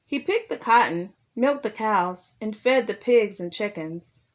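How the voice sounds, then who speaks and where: A woman reading a children's picture book aloud as a storyteller, expressive and careful, with asides to her young listeners. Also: mid-range voice, measured pace. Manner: addresses young listeners with simple questions.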